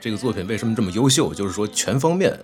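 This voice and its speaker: A man's voice: on the low side, animated, very fast. A man who works as a voice actor chatting casually as a guest, often hesitating and repeating his words. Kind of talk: casual panel chat. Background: background music.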